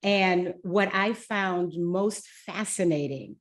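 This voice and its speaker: A woman hosting a podcast interview in a conversational, emphatic and expressive way. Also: on the low side, slow. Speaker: podcast host.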